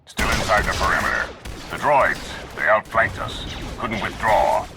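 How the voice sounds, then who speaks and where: An actor playing an embattled game soldier, reporting from the front with urgent strain. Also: male voice, on the low side, animated, measured pace, noisy background. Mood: urgent, grim.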